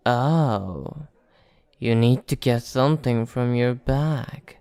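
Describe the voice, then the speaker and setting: A man playing a sly, teasing vampire in an ASMR roleplay, speaking in a deliberate, mocking and condescending way. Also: mid-range voice, a little mellow, clear, resonant tone, no room sound. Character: sly, teasing male vampire posing as small-town informant. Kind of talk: ASMR roleplay monologue to the listener.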